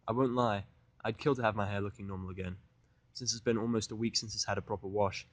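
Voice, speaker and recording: A man's voice: on the low side, no echo. A man reading scripted first-person fan fiction as the narrator of an audio drama.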